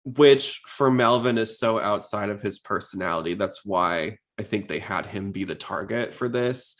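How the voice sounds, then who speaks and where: A man with a fairly low voice talks as a podcast host. He chats casually, commenting on a show, and tends to ramble and break off to restart his thoughts. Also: normal speed, fairly bright tone. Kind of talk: casual chat, episode commentary.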